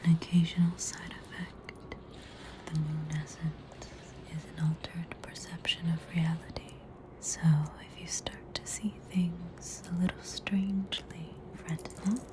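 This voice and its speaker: A woman's voice: lowish voice, little pitch movement, close to an everyday voice, rough recording. An Australian woman voicing a soothing, faintly haughty witch doctor in a whispered ASMR roleplay. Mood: soothing, reassuring. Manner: slow, soothing, reassuring bedside manner.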